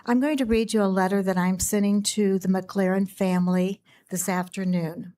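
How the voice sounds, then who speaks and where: A woman with a raspy voice reading a prepared letter aloud as a public commenter at a county commission meeting. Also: mid-range voice, fairly even intonation, moderate pace, dark tone.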